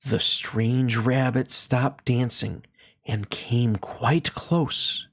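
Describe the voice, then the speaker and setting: A storybook reader narrating a children's tale aloud in an expressive read-along style. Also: mid-range voice, extremely slow.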